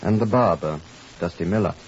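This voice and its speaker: An actor playing an authoritative police superintendent, measured and clipped, in a 1950s radio drama. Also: male voice, sits low, a little mellow. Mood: composed, official. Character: composed, authoritative Scotland Yard police superintendent. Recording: dull, hissy 1950s radio recording.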